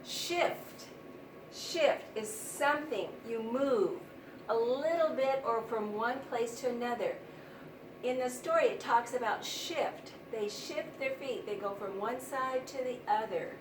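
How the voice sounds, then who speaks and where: A woman teaching young children, explaining things simply and deliberately with plenty of repetition. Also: fairly high pitch. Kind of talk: read-aloud vocabulary lesson for young children. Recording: roomy, distant mic, noisy background.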